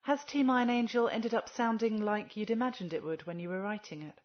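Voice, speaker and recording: A woman's voice: medium pitch, a little fast, lo-fi sound. A woman asking prepared interview questions as a guest who sends in a recorded message.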